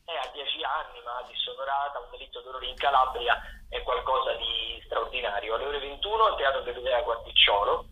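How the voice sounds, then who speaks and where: A man giving spoken listings of theatre and dance events as a radio correspondent, haltingly, searching for words. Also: medium pitch, bright, forceful tone, lo-fi sound. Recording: roomy echo, faint background noise.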